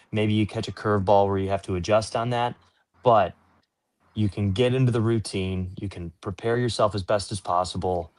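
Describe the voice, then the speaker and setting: Low-voiced man explaining things informally. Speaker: sports play-by-play announcer, interview guest. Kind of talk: conversational interview answers.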